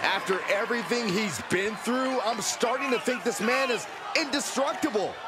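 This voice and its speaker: A man playing an excited wrestling commentator in a video game, calling the match over a loud arena crowd. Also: extremely high pitch, moderate pace, very noisy.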